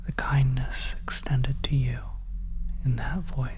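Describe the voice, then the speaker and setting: A person whispering a second-person horror story in ASMR style, breathy and deliberate, leaving long pauses between phrases. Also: mid-range voice, flat delivery, noisy background. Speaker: audio roleplay storyteller. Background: oppressive ambience.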